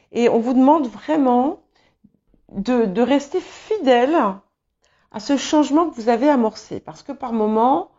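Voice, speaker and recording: A woman whose first language is English gives a tarot reading slowly and haltingly, with many fillers and restarts. Her voice is mellow and unhurried. The recording sounds a little dull and lo-fi. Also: on the high side. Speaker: tarot reader. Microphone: small clip-on mic.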